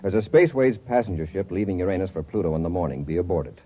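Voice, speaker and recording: Space patrol commander, very low husky voice, authoritative, old radio drama. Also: male voice, very fast. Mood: commanding. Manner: crisp, briefing, in command. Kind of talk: 1950s radio serial acting. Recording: muffled old radio recording.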